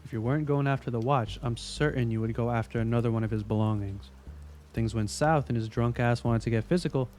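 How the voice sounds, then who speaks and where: A man's voice: mid-range voice, quick pace, darkish tone, faint music behind, natural voice. A man playing a weary, skeptical police investigator in an audio drama, speaking in a dry, blunt, impatient way. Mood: impatient, pressing.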